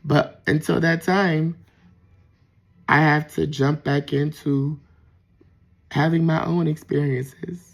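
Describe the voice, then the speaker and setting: A man with a soft voice, talking reflectively in a casual personal monologue with frequent pauses and restarts. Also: fairly high pitch, fairly even intonation, gravelly. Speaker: vlogger.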